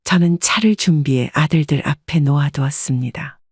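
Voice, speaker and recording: Husky woman narrating a story calmly. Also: low-pitched, normal speed, no echo, clean studio sound. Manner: calm and reflective. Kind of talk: scripted first-person story narration.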